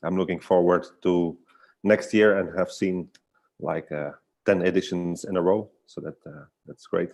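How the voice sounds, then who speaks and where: Very deep-voiced man, thoughtful and hesitant. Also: steady tone, unhurried, vocal fry, darkish tone. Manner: hesitant, searching for words. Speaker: guest artist and storyteller. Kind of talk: casual reflective online conversation.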